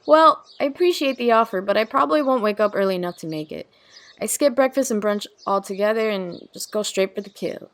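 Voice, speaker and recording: A woman's voice: medium pitch, animated, a little fast, natural voice. A woman playing a wry, foul-mouthed young woman in an audio drama, with a casual, dry, teasing delivery. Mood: friendly, casual. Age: young adult.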